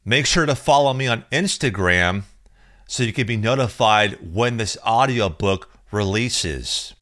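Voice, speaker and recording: A man reading a chapter of his own book aloud in a slow, measured, deliberate way, recorded close on a studio mic. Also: fairly low pitch, animated, a bit bright, no echo. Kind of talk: audiobook reading.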